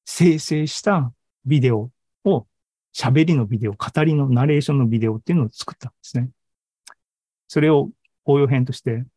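A man with a soft-edged, mellow and rather husky voice gives an informal tech presentation. He talks conversationally, dropping in asides and little tag words as he explains. The sound is close and dry. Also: medium pitch, dry recording. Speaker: presenter at an AI hobby forum and podcast host. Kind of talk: informal live tech presentation over an online meeting. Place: online Zoom live session.

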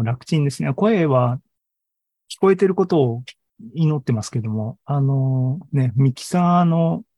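A man's voice: mid-range voice, gravelly, dark tone, dry recording. Male podcast host. Casual live online presenting, informal phrasing, thinks aloud. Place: live online video call.

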